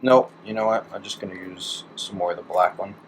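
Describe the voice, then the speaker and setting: A man's voice: sits low, fairly quick. A man casually talking through hands-on DIY work as he goes, thinking aloud in an informal way. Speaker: DIY electronics hobbyist. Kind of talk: casual hands-on narration while working. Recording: clearly noisy hands-on workshop recording.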